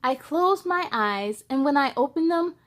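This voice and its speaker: A woman with a high voice reads a children's story aloud. She takes it slowly and expressively, like a host who puts feeling into each line for young listeners. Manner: slow, expressive delivery with playful asides.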